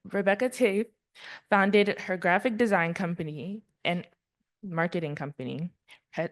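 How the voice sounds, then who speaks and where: Woman reading formal bios, low voice. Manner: formal, reads bios with small stumbles. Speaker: event introducer. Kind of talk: reading speaker introductions.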